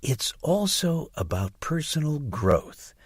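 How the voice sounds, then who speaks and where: A man's voice: a little high, big pitch swings, slow, breathy edge, no room sound, studio quality, full character voice. A man as an earnest, gushing mother. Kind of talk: acted audiobook dialogue.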